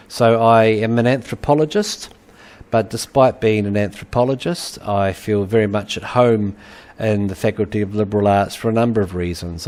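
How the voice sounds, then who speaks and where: A middle-aged man with a New Zealand accent, giving a recorded webinar lecture and pausing often with uhs and ums. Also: on the low side, darkish tone. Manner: halting, many uhs and ums. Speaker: university lecturer.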